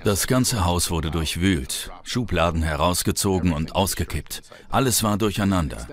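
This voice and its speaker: A man's voice: low voice, expressive, normal speed. An actor voicing a male police investigator recounting a case in a dubbed documentary interview. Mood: matter-of-fact.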